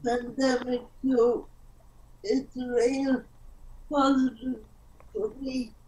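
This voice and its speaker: A woman speaking informally and haltingly as a group member on a video call. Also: high voice, level tone, mellow, rough recording. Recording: faint background noise on video call.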